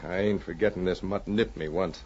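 Actor as a deep grumbling outlaw henchman. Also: fairly even intonation, brisk, darkish tone, lightly acted. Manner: uneducated frontier talk, grumbling. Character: gruff, grudging male outlaw henchman, quick to gripe. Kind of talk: 1940s radio western acting. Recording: muffled, noisy old radio recording.

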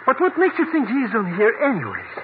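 A man's voice: very high voice, huge pitch swings, fairly quick, heavily acted. An actor playing a nervous, whiny foreign sidekick in a 1950s radio drama, stammering and mangling his English. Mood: complaining. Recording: very noisy, tinny old radio recording.

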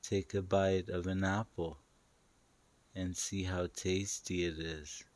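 A man's voice: sits low, flat delivery, slow, a little mellow. A man narrating a guided meditation in a soothing, instructive, hypnotic manner, repeating short phrases.

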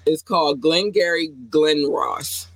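A woman co-hosting a casual podcast, talking in an animated, playful way, with slang and phrases she repeats for effect. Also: low voice, unhurried, no echo, rough recording. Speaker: podcast co-host.

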